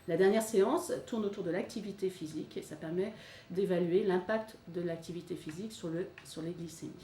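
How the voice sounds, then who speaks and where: A woman presents information in an explanatory way. Her voice sits a little low and slightly mellow, recorded in a roomy, echoing space. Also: brisk. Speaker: hospital programme presenter. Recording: roomy, distant mic, faint noise.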